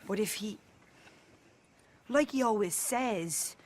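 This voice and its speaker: Anxious, slow-speaking woman. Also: mid-range voice, expressive, a little mellow, a little hiss or hum. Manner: hesitant, halting, earnest. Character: lovelorn, anxious young woman in an outlaw camp. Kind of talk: video game cutscene acting.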